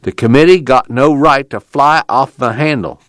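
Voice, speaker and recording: A man's voice: mid-range voice, moderate pace, a bit bright, no room sound, voice pushed up, close to an everyday voice. A man voicing a worried migrant camp leader in a storybook, with earnest, folksy rural speech. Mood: self-calming. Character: plain-spoken, worried male migrant camp committee leader.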